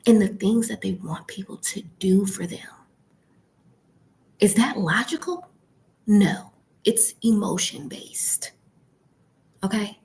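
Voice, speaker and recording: A woman coaching informally in a hushed, partly whispered voice, emphatic, often checking in with the listener. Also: medium pitch, a little hiss or hum, low-quality recording. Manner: emphatic, rhetorical questions and frequent check-ins. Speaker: YouTube branding and e-commerce coach. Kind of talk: informal coaching talk to camera. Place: library.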